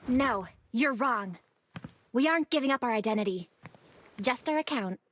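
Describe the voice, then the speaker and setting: An actress playing a shrewd, composed young female underground operator in a video game, speaking in a measured, pointed, confident way. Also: fairly high pitch, expressive. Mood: coolly triumphant. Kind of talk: English video game voice acting.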